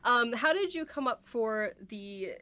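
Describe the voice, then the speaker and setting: A woman's voice: a little high, expressive, unhurried, pushed and bright, no room sound. A woman hosting a podcast, asking questions in a casual, conversational style. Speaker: podcast host, interviewer. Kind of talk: casual chat.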